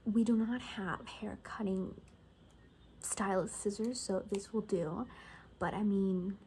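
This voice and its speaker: A woman speaking softly in an ASMR roleplay as a gossipy survivor, rambling casually and wryly and often dropping into whispers. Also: mid-range voice, steady tone, slow pace, a little hiss or hum. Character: gossipy female bunker survivor in a zombie apocalypse. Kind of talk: soft-spoken ASMR, improvised gossipy storytelling.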